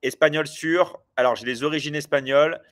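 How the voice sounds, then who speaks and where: A man's voice: on the high side, a little fast, a bit bright. A man in his forties hosting a casual livestream, chatting loosely with viewers and rambling with many hesitations and restarts. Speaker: livestream host.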